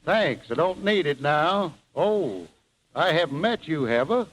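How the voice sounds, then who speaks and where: A man's voice: fairly high pitch, animated, a little slow, fairly bright tone, rough recording. An actor playing a mild, honest handyman who stands up for himself in a dry, plain-spoken way, in 1930s radio comedy style. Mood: puzzled, plain. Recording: vintage 1930s radio broadcast.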